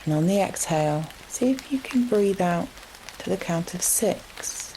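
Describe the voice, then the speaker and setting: Lady doing a yoga nidra thing, really slow n gentle, low creaky voice, rain in the background. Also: slight background noise, rough recording. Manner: gentle, soothing, unhurried with long pauses. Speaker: yoga nidra meditation guide. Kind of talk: guided relaxation meditation. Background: steady rain sounds.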